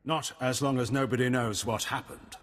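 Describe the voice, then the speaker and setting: A man's voice: medium pitch, fairly even intonation, normal speed, long reverb tail, lightly acted. A man playing a cold, haughty middle-aged imperial admiral in a video game, formal and condescending. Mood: grave.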